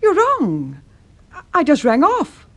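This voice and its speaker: A woman playing a resolute, vengeful middle-aged mother in a vintage radio drama, deliberate and accusing. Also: high voice, wildly swooping pitch, heavily acted. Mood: grim resolve. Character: vengeful, resolute mother trapping her daughter's killer.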